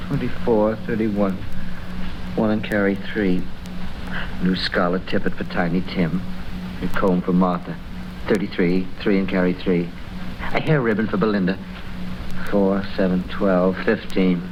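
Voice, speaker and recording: An actor playing a meek, humble, kindly clerk in an old-time radio drama, timid and deferential in manner. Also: male voice, sits low, steady tone, unhurried, long pauses. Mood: absorbed, murmuring. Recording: noisy, muffled old radio recording.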